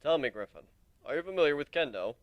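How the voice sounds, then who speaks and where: A man voicing a calm beast swordmaster in a story reading, measured and gently teasing. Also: on the high side, no echo, highs cut off. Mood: probing, calm. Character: calm male furred beast swordmaster with a katana.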